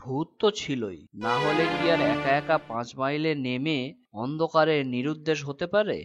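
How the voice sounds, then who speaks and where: A man's voice: a little high, moderate pace, lightly acted. Man acting a grave ghost-hunter leader, music behind. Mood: confident, secretive. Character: resolute, secretive male ghost-hunting tantric leader. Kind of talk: animated horror story dialogue.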